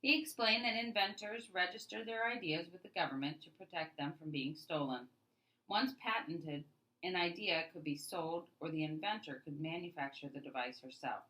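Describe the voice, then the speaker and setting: A woman narrates a children's storybook aloud in an expressive way. Her voice sits low for a woman but is bright and forceful. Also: moderate pace, low-quality recording. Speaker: storybook reader.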